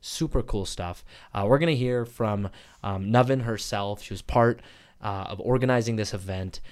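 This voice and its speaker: A man opens a podcast episode in a loose, enthusiastic monologue, his voice sitting on the lower side. He rambles, stacks up fillers and stumbles over words now and then, then laughs it off and keeps going. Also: normal speed. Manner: chatty, upbeat, rambling with slips and fillers. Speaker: podcast co-host. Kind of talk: casual podcast intro monologue.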